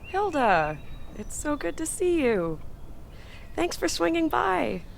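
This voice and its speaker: A woman's voice: sits high, sing-song, takes it slowly, gravelly, full character voice. A woman acting an earnest, awkward young woman in naturalistic drama dialogue, hesitant and repeating short phrases. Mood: concerned, guarded. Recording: faint background noise and ambience.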